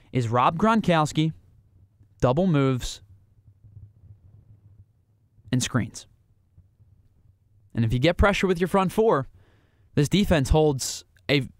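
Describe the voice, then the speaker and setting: A man with a fairly high voice hosts a sports podcast, talking through his analysis alone in an emphatic, building way. He pushes his points with rhetorical questions and repetition. The sound is close and dry, with no room around it. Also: no room sound. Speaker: podcast host. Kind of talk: solo sports analysis monologue.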